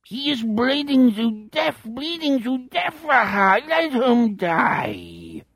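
A guttural, unhinged alien personality for an audiobook, with a warped mock-German accent and a high, sing-song voice. He sounds husky and dry. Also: huge pitch swings, slow pace, a bit bright, no echo, heavily acted. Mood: gloating. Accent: odd mock-German.

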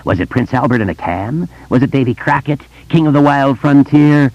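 Shrieking, cruel ancient vampire. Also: male voice, animated, moderate pace, a little mellow, no room sound, muffled recording. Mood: shrieking taunting. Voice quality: screaming, shrill. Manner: taunting, shrieking questions.

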